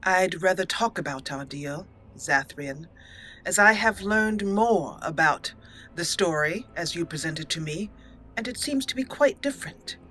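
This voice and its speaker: A woman's voice: lowish voice, expressive, a little slow, pushed and bright, slight background noise, rough recording. A woman voicing her young female elf mage hero, speaking firmly and earnestly.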